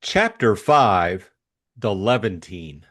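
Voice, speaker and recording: A man's voice: on the low side, lively intonation, slow, a bit bright, no echo, clean studio sound. A man giving a scripted introduction and narration as host of an audiobook reading.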